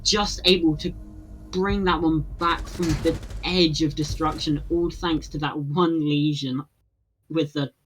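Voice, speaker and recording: An esports caster giving play-by-play and measured analysis of a tactical shooter match, with dry humor. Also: mid-range voice, steady tone. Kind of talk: play-by-play commentary. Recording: broadcast with noisy game audio.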